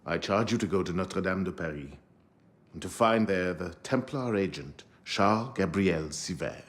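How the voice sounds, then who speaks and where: A man's voice: low voice, expressive, normal speed. A man playing a stern, dignified leader of a secret assassin order in a game, formal and authoritative. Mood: solemn. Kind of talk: dramatic video game dialogue.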